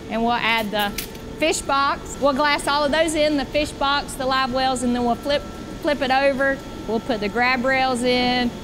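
A woman in her forties giving a brisk, explanatory walking tour of a factory workshop. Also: high-pitched, background noise. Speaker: boat company guide. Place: large factory workshop indoors.

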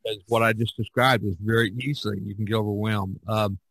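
A man's voice: on the low side, fairly even intonation, fairly quick, fairly bright tone, slightly rough, rough recording. A man hosting a podcast in a casual, rambling way, often repeating himself and circling back. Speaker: podcast host.

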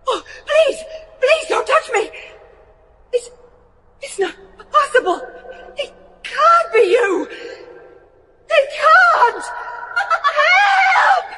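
An actress playing a frightened working-class wife in a gothic horror radio drama, breathless, pleading and tremulous. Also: female voice, extremely high pitch, pushed and bright, slight background noise, heavily acted. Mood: screaming terror. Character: terrified working-class wife searching for her husband.

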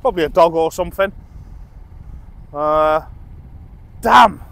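A man's voice: on the high side, slow pace, creaky, fairly bright tone. A middle-aged British man in casual running commentary as he fishes from a dock at night, chatty and self-amused, recorded by a camera some distance away. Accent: British English. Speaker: angler vlogger. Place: dock by the sea at night, outdoors. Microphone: camera on tripod, at a distance.

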